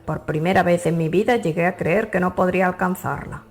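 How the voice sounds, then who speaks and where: Lady reading as a pompous gloating monk guy, cruel, low voice, storybook villain. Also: fairly quick, lightly acted. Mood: boastful. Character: cruel, gloating libertine monk, a grown man.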